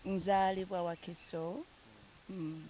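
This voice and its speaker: A woman's voice: on the low side, little pitch movement, takes it slowly, warm tone, a little hiss or hum. A woman from Uganda who grew up in Denmark, chatting easily as an interview guest and switching between languages. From Uganda, raised in Denmark. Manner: mixes English and Luganda, easygoing, laughs often. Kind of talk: casual interview chat.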